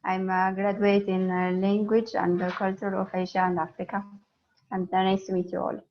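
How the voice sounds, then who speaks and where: Flat-voiced young Moroccan woman. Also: medium pitch, moderate pace, a little hiss or hum. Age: 20s. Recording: lo-fi video call audio.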